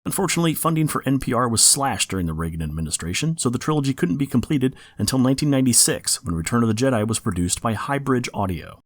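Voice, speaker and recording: A man's voice: medium pitch, animated, fast talker, few pauses, no echo. A man hosting a podcast in scripted, informative narration. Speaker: podcast host.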